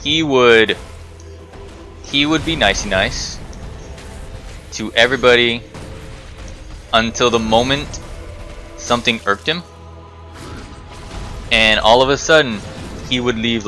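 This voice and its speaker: A man's voice: mid-range voice, lively intonation, measured pace, pauses often, sharp tone, soft background music. A man giving casual storytime commentary while playing a game, rambling, correcting himself and muttering swears at the game. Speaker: gaming video host telling stories while playing.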